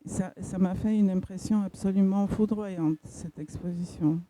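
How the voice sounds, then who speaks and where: A woman from Poland in the audience asking a question, speaking hesitantly with many pauses and restarts. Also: mid-range voice, fairly even intonation, warm tone, dry recording. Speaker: audience member.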